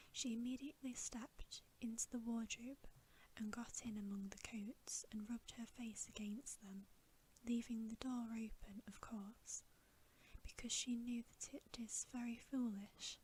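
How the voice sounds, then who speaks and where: A woman reading a bedtime story aloud in a breathy, unvoiced ASMR whisper, gentle and soothing. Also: a little high, a little hiss or hum, poor audio. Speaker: ASMR reader.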